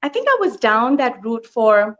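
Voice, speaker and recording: A middle-aged woman from Thailand, speaking as a guest in an earnest, reflective way with frequent pauses. Her voice sits a little high. The sound is dull and lo-fi, as on an online live stream. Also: very animated. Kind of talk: reflective personal storytelling.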